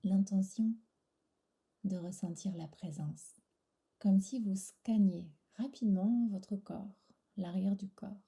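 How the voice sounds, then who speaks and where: Woman, guided meditation, soothing and hushed, breathy, creaky low-energy edge, unhurried short phrases, very mellow tone. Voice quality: breathy, soft. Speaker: yoga nidra instructor. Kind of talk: guided relaxation meditation, soft-spoken.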